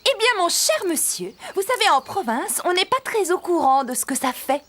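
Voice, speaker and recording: A woman's voice: extremely high pitch, big pitch swings, moderate pace, a bit bright, a little hiss or hum, exaggerated voice. A woman voicing a courteous, chatty little garden insect in a children's cartoon, with polite, ceremonious manners.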